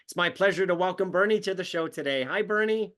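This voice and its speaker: A man's voice: sits high, quick pace, a bit bright. A man hosting a business interview show, friendly and laughing often. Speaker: podcast host, business coach.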